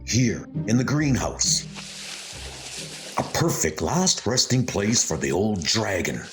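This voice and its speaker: A man's voice: lowish voice, sing-song, takes it slowly, soft background music. Man acting out a gloating, scheming murderous heir. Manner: theatrical, gloating. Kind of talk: comic dub horror acting.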